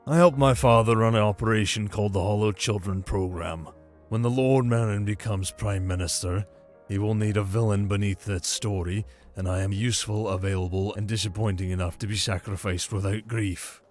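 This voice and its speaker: A man playing a cynical, disgraced young lord, dry and measured, in an audio roleplay drama. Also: fairly low pitch, fairly even intonation, faint music behind, natural voice. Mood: grave, frank. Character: cynical, disgraced noble son, coolly frank and sardonic.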